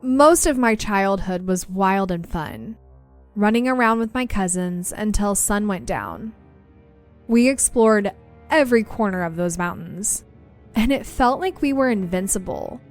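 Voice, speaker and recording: A woman's voice: mid-range voice, expressive, measured pace, soft background music. A young woman hosting a podcast, chatting casually and then reading listener stories aloud. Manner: chatty, laughs easily, reads stories aloud. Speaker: podcast host.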